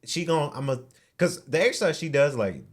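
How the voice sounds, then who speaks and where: A man in his late twenties co-hosting a podcast, chatting in a relaxed way and laughing often. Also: a little high, brisk. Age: 20s. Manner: laid-back, laughs a lot, many short backchannels. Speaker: podcast co-host. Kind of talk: casual chat.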